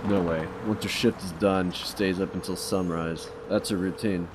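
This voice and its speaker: Deep male voice, gruff driven cop, terse and insistent, crime radio drama acted, noisy ambient background. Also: fairly even intonation, normal speed, lightly acted. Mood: worried, insistent. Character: gruff, driven, foul-mouthed male police detective. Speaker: voice actor. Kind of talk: crime audio drama dialogue.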